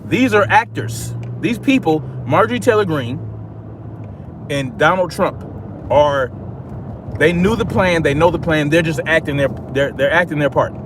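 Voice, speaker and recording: A man's voice: fairly high pitch, expressive, normal speed, noisy background. An American man giving casual political commentary as a livestream host, emphatic and repeating phrases for stress.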